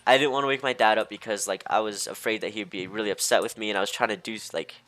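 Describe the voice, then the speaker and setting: A young man telling personal stories in a casual, rambling way, halting and easily sidetracked, leaning heavily on filler words like "like". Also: fairly low pitch, fairly even intonation, a bit bright. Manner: hesitant, halting, easily distracted, heavy use of "like". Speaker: storyteller.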